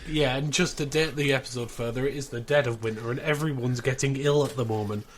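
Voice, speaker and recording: Man, podcast host, casual banter, dry jokes, medium pitch, noticeable background noise. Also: steady tone, quick pace. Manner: self-deprecating, dry joking.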